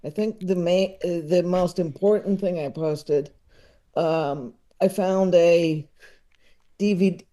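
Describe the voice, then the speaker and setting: Guy in his 30s guesting on a podcast, talks slowly, kinda rambly, relaxed dark-ish tone. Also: high-pitched. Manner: halting, rambling, repeats words as he gathers thoughts. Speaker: podcast guest, comedy expert. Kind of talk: casual chat.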